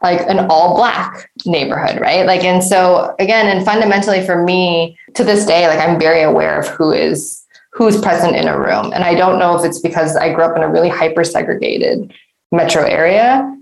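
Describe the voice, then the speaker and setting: A woman's voice: on the low side, normal speed, vocal fry, reverberant, poor audio. A woman from the Detroit area, a guest answering at length in an interview, thoughtful, full of fillers and asking "right?". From Detroit area, United States.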